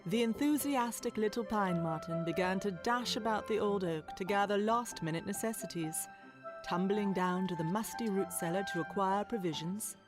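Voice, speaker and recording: Brief: female narrator, medium pitch, expressive storybook reading for children's audio drama, soft music underneath. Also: normal speed.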